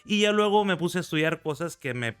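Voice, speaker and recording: Guy, early 40s, smooth clear voice, faint music behind. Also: fairly high pitch, expressive, a little fast, a bit bright. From Mexico. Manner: earnest, recounts with pride. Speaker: guest, federal deputy. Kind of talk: conversational interview.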